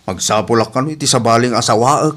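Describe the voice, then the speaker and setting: A man's voice: mid-range voice, fast, fairly bright tone, close to an everyday voice. A man playing a hapless, pleading estranged husband in a radio comedy drama, insistent and whiny, with an Ilocano accent.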